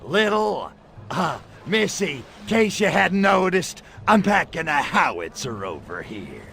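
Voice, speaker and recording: A brash little alien invader from a video game, with a raspy, fried edge. He drawls slowly and swoops widely in pitch, tossing out slangy, lecherous wisecracks with total swagger. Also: big pitch swings, fairly bright tone, a little hiss or hum, heavily acted. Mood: brash, irritated. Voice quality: gravelly. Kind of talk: video game cutscene voice acting.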